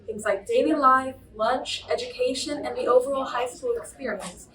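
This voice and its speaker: A teenage girl narrating a school news feature in a steady, scripted way. Her voice is slightly high. It sounds somewhat distant in an echoey room. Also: moderate pace, noisy background. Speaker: student reporter.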